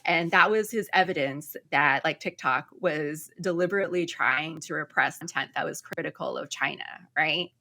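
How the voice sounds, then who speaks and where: A woman's voice: deep voice, normal speed, hardly any pauses, sharp tone. A young woman talking through political news in a casual, loose way, full of ums and likes, often checking in with "right?". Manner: filler-heavy, ends points with "right?". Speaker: political commentator.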